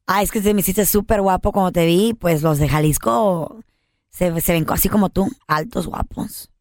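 A woman's voice: fairly low pitch, normal speed, a little mellow, no echo, clean studio sound. A woman co-host placing a prank phone call, talking in a playful, flirty way with bits of English mixed in. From United States, family from Honduras.

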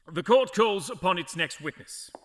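A man's voice: sits high, a little hiss or hum. A man playing an imperious, exasperated judge in a fantasy audio drama, pompous and commanding. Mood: stern, formal.

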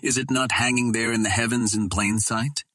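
A man's voice: mid-range voice, steady tone, moderate pace, sharp tone, dry recording, studio quality. A man voicing a proud, indulgent young Martian princess in an audiobook, speaking in formal, lofty sentences. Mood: amused, assured.

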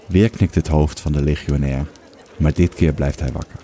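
A man narrating a scripted story in a measured, slightly wry storytelling manner. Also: a bass voice, steady tone, dark tone.

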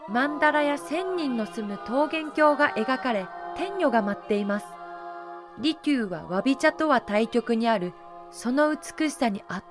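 A woman with a high voice narrates a historical audio drama. Her delivery is scripted storytelling with dramatic phrasing, and music plays softly behind her.

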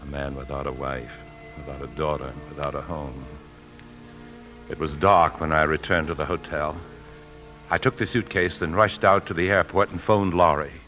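Deep-voiced, guilt-ridden American husband. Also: male voice, steady tone, moderate pace. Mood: grave, confessional. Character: guilt-ridden, weary husband and bank employee turned thief. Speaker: lead actor and narrator. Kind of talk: 1950s radio drama acting, first-person narration. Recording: 1950s radio broadcast with music.

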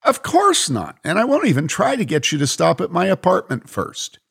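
A woman's voice: fairly low pitch, huge pitch swings, moderate pace, creaky, slightly rough, voice pushed up, exaggerated voice. A man voicing a cheerful, outspoken young woman as he reads a story aloud. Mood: delighted. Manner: bubbly and outspoken.

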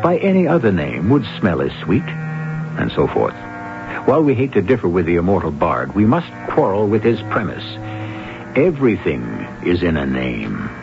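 A man hosting a radio mystery series with theatrical scripted narration, dramatic, literary and deliberate, on an old broadcast recording. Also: sits low, wildly swooping pitch, gravelly, muffled recording, music in the background.

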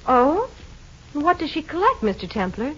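An actress playing a brisk, knowing informant in an old-time radio detective drama. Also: female voice, high-pitched, sing-song, fairly bright tone. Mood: knowing, informative. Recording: dull, muffled old radio recording.

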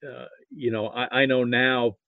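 An American man, middle-aged or older, reminiscing casually as an interview guest in a halting way, often repeating words. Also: medium pitch, little pitch movement, dry recording. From Nebraska, United States. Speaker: interview guest, football archivist. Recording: thin phone-like line.

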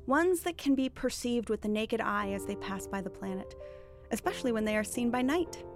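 Woman acting a sly, high-voiced lady thief. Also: expressive, moderate pace, music in the background, lightly acted. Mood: sly, explanatory. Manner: articulate, mock-courteous, teasingly self-assured. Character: cunning, bookish woman thief posing as a witch. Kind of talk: audio drama acting, period dialogue.

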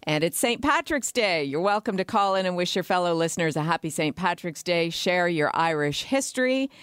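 A woman's voice: lowish voice, expressive, fairly quick, smooth, dry recording, clean studio sound. A woman with a Canadian accent presenting a radio phone-in as its host. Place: radio studio.

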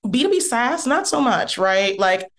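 A woman guest on an interview podcast who explains her work in a lively, emphatic conversational manner. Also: fairly high pitch, normal speed. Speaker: podcast guest, content strategist.

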